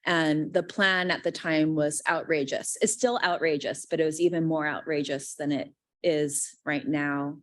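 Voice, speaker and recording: A woman's voice: sits low, fairly even intonation, unhurried, dry recording. A woman in her thirties from Guam, telling her story on a panel in a chatty way, often saying "like" and acting out quoted lines. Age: 30s. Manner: chatty, heavy use of "like", acts out quoted lines.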